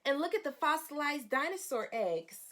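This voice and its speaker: A woman teacher reading a picture book aloud to young children, expressive, explaining simply and asking questions. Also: high-pitched, normal speed.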